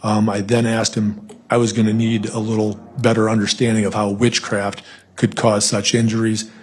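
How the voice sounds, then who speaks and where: A man gives formal investigator testimony in a matter-of-fact way. His voice is lowish and husky and stays very even, with faint music behind it. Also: moderate pace.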